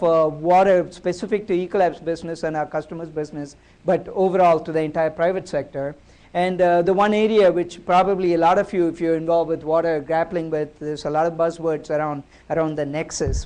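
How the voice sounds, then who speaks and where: A man giving a public talk at a university, amplified through the room's sound system, speaking haltingly with many fillers and restarts. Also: on the high side, warm tone. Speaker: guest speaker at a university lecture. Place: lecture room at a university. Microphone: amplified through a room speaker system.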